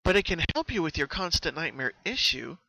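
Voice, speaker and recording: A man plays a caring, studious female unicorn from a cartoon story, his pitch lifted and bright. She sounds earnest and articulate, explaining things like a concerned friend. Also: big pitch swings, brisk, vocal fry, no room sound, heavily acted, low-quality recording.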